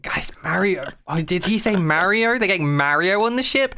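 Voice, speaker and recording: A man's voice: high voice, animated, moderate pace, creaky, pushed and bright. A man co-hosting a podcast, chatting casually about sci-fi in a jokey, rambling, sweary way. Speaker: podcast co-host. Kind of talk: casual comic banter about a sci-fi show.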